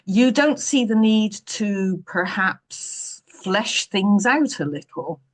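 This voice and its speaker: Woman reading as a stern sardonic boss man in a storybook, husky, clipped and very formal. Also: mid-range voice, expressive. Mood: sardonic, exasperated. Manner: measured, clipped, pointedly formal.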